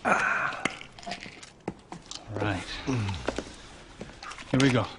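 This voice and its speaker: An actor playing a streetwise Brazilian bodyguard in a video game, brash, familiar and teasing, with a Brazilian accent. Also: male voice, mid-range voice, very slow, very noisy. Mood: wry, teasing. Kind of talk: video game cutscene dialogue. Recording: loud music behind the voice.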